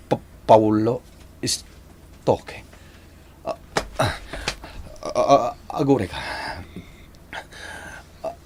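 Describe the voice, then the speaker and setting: An actor playing an excitable young man in a radio drama, halting and exclaiming, with an Ilocano accent. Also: mid-range voice, slight background noise, natural voice. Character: excitable young man shocked by his lover's confession. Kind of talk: Filipino radio drama acting.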